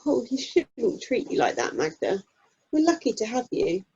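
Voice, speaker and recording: A woman's voice: on the high side, very animated, normal speed, a little mellow, husky, full character voice. A woman acting a kindly, down-to-earth British drama club member in an amateur radio play, chatty and easygoing, over a video call. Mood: sympathetic. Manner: easygoing, complimentary. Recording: muffled lo-fi video call audio.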